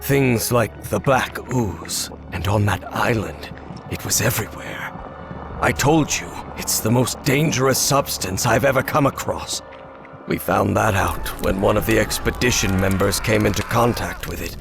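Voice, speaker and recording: An actor playing a guilt-ridden older professor in a video game, speaking in a halting, grave, confessional way. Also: male voice, lowish voice, vocal fry, music in the background. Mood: somber recollection. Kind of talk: dramatic video game acting.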